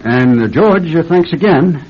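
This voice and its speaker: A nervous, conniving husband in a radio play, suave yet halting. His mid-pitched voice rises and falls theatrically on a muffled recording with faint noise. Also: expressive. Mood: smooth, wry. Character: scheming, nervous husband with gambling debts. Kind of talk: classic radio drama acting. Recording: dull, slightly noisy radio recording.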